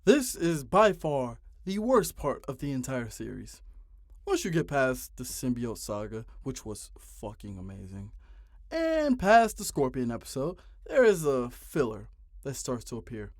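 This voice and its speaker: A man's voice: mid-range voice, huge pitch swings, measured pace, a little mellow, dry recording, studio quality. A man in his twenties narrating a ranting video essay, exasperated and profane, with heavy emphasis. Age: 20s.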